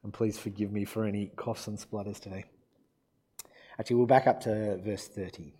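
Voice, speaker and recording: Male preacher, croaky from a cold; warm low tone, casual delivery with ums. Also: moderate pace. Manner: self-deprecating, relaxed, with fillers. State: croaky from illness. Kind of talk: sermon with Bible reading.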